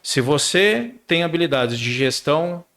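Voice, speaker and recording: A man's voice: fairly high pitch, animated, unhurried, fairly bright tone. A middle-aged man, a guest on a business podcast, explaining in casual chat and often repeating words while he searches for the next one.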